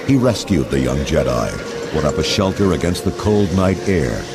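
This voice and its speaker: A man's voice: very deep voice, expressive, normal speed. A man narrating a scripted storybook read-along in a dramatic storytelling manner. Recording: loud music under narration.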